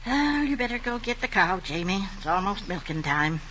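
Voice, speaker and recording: A woman's voice: medium pitch, very animated, moderate pace, bright, forceful tone. A woman playing a practical, long-suffering farm mother, plain-spoken and folksy with a Southern American accent, in old-time radio drama style. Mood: weary. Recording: dull, noisy old radio recording.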